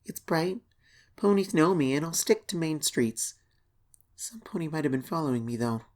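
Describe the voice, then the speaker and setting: A woman voicing an anxious young pony filly, reading her diary as an expressive, hesitant horror story narration. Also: deep voice, moderate pace. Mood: worried. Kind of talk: dramatic first-person horror story reading.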